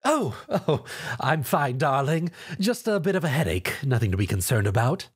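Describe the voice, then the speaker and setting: A man's voice: medium pitch, very animated, moderate pace, breathy edge. A man voicing a refined, dramatic female fashionista pony with affected, genteel manners in a story.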